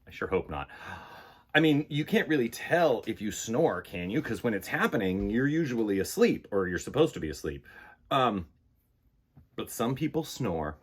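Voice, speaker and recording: A man presenting children's storytime, reading and chatting in a playful, expressive way. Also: on the low side, moderate pace, husky. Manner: playful, expressive, dramatic shifts in volume. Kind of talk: animated picture-book read-aloud and casual chat.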